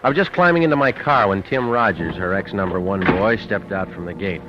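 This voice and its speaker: An American man playing a tough, wisecracking private detective in an old radio drama, terse, deadpan and sardonic. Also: low voice, brisk. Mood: weary narrating. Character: tough wisecracking male private detective, hard-boiled and cool. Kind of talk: old-time radio detective acting with first-person narration. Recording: dull vintage radio recording with music.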